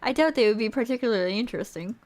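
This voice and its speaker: A woman streamer reading a visual novel aloud as theatrical storybook narration, expressive and playful. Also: fairly high pitch, very fast. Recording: music behind the voice.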